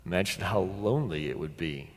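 A middle-aged man preaching a sermon as a pastor, deliberate and dramatic, with pauses and emphatic repetition. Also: low voice, expressive. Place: large church sanctuary, at the pulpit. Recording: long church reverb.

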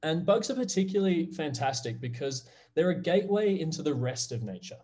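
A man's voice: on the high side, very animated, a little fast, mellow. A man in his thirties from Australia with an Australian accent, giving a friendly, upbeat online class presentation to kids over a video call. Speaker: guest presenter.

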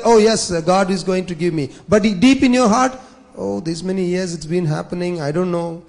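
Pastor, male, 30s, high voice, sermon with questions to the room, handheld mic in a church hall. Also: a little mellow. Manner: preaching with questions to the congregation, rising to emphatic passages. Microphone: handheld microphone.

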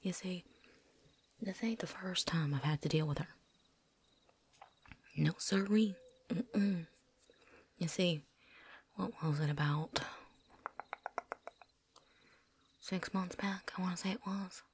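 A woman's voice: lowish voice, slight background noise. A woman playing a chatty, cocky, seasoned vampire hunter in a whispered ASMR roleplay, rambling folksily. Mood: chatty, reminiscing. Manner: folksy, rambling, with drawn-out asides.

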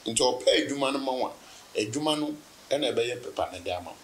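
A Ghanaian man in his thirties, a business guest in a relaxed sit-down interview, telling his story with laughs and anecdotes. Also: mid-range voice, quick pace, a little hiss or hum, rough recording. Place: indoor room, seated on sofa.

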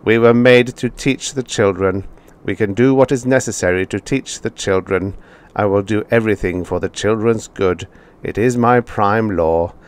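A man reading as a flat metallic robot teacher. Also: fairly low pitch, normal speed, close to an everyday voice. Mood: stern. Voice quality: metallic, even, unfeeling.

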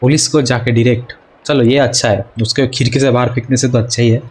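Very fast-talking Indian man. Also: medium pitch, steady tone, slight background noise. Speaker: gaming video host. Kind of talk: casual meme-filled gameplay commentary.

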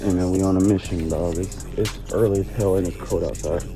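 A man's voice: low-pitched, fairly even intonation, a little fast, over music. Laid-back young man vlogging from his car. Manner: laid-back slangy hype talk. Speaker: vlogger and rapper. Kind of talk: casual vlog talk. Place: inside a parked car. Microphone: phone held close.

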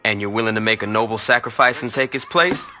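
An actor playing a tough, vengeful American street gang leader in a video game, blunt and terse. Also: male voice, on the low side, quick pace, sharp tone, slight background noise. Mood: guarded, defiant. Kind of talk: video game cutscene acting.

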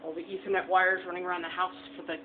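A man's voice: high-pitched, level tone, racing. A man giving a casual, matter-of-fact tour of his home basement, filmed on a handheld phone. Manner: plain, matter-of-fact, pointing things out. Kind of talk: casual walk-through tour. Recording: tinny phone-like audio with clear background noise.

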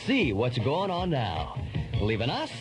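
A man's voice: medium pitch, huge pitch swings, measured pace. A man reading a scripted 1980s radio commercial as the announcer. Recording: 1980s radio ad with music bed. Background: music.

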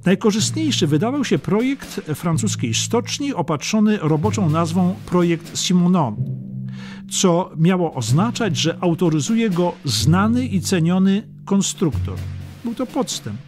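A man reading a scripted historical audiobook as narrator, in a measured, dramatic storytelling manner. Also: high voice, expressive. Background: music under the narration.

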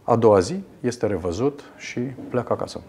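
A man in his fifties, a radiologist guest in a TV studio interview, explaining a procedure in a measured way with frequent fillers. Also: fairly low pitch, expressive, a little mellow, slight background noise. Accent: Romanian. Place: television studio.

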